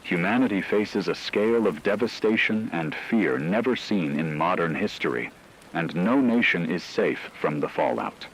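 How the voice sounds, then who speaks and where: A man playing a grave radio newsreader, reading a scripted breaking war bulletin in a solemn, measured, ominous way. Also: sits low, expressive, slight background noise, poor audio. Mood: grave, urgent.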